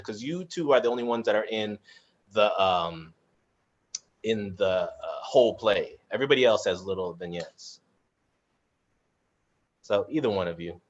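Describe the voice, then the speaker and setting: A low-voiced man moderates an informal online chat. He speaks haltingly, searching for his words, and the video-call audio is dull and muffled. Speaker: moderator and cast member. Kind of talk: casual live panel moderation.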